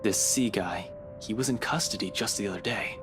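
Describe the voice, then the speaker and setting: Police investigator for a visual novel , male actor: low register, gruff and practical, music underneath. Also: moderate pace, close to an everyday voice. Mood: grim, matter-of-fact. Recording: music playing behind.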